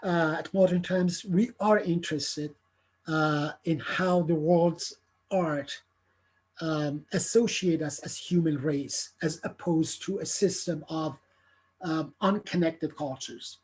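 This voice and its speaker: A middle-aged man gives an earnest, carefully prepared lecture, pausing often on fillers and repeated words. His voice sits a little high for a man and has a husky, slightly breathy edge. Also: darkish tone. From Iran. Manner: halting, many filler sounds and restarts, earnest. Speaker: theatre artistic director giving a lecture.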